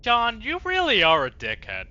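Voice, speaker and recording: Male let's player, very forceful sharp tone, hugely varied pitch, casual, profane, jokey. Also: moderate pace, dull audio. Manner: profane, animated, jokey reactions. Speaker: streamer playing a game. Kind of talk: casual let's play commentary.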